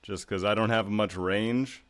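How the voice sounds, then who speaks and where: A man hosting a podcast, with a low voice, chatting in a laid-back, joking way and swearing casually. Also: fairly even intonation, unhurried. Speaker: podcast host.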